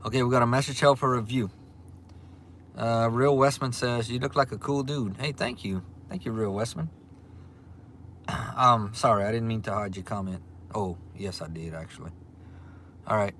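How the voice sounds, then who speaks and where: A man's voice: mid-range voice, fairly even intonation, normal speed, long pauses, a bit bright, a little hiss or hum. Easygoing Louisiana man in his forties. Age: 40s. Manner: easygoing, repeats himself, frequent ums. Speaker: livestream host. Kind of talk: casual livestream chat reading viewer comments. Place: restaurant. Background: faint restaurant ambience.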